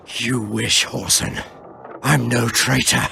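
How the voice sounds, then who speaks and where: An actor playing a badly wounded, proud and bitter elf freedom fighter in a video game, weak with pain, halting, breathy and often near a whisper. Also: male voice, medium pitch, level tone, sharp tone, a little hiss or hum, exaggerated voice. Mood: delirious, alarmed. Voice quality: gravelly, breathy, falling into whispers. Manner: halting, defiant. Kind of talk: video game cutscene acting.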